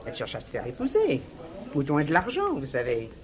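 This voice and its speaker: An actress playing a middle-aged merchant's wife in a French radio crime drama, sharp-tongued, gossipy and disdainful. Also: female voice, sits low, wildly swooping pitch, a little fast. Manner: gossipy, disdainful, bossy with staff.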